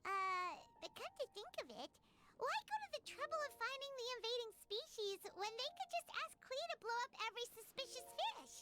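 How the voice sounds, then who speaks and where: A very high, squeaky little girl from a video game, bubbling with glee and exclamations. The voice swoops around in a lively way, with music playing prominently behind it. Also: brisk. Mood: musing. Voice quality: squeaky, childlike. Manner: gleeful, exclamatory. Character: excitable little girl and her tiny fairy companion. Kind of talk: video game character acting.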